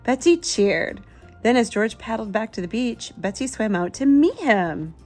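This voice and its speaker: A woman's voice: a little high, measured pace. Woman reading a picture book, music behind. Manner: expressive, simple storytelling for young children. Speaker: children's book reader, channel host. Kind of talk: picture-book read-aloud with asides to a child.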